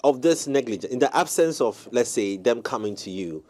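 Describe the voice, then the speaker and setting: Male co-host, mid-pitched; winding, self-correcting questions. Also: lively intonation, normal speed, darkish tone. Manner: long rambling questions, restarts. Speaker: talk show co-host. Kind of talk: panel talk show discussion.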